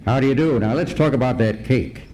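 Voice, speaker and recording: An actor playing a wry, laconic private detective in a comic radio noir parody. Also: male voice, mid-range voice, brisk, lightly acted. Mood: deadpan. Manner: deadpan, laconic. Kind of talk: comic old-time radio noir acting. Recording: noisy live stage recording.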